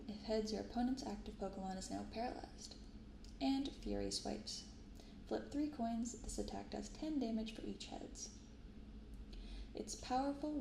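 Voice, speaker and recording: A soft-spoken woman hosting an ASMR video, reading aloud and commenting casually in a gentle, quiet delivery. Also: medium pitch, gravelly. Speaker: host of a card-opening channel. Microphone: binaural microphone. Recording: roomy sound with some background noise.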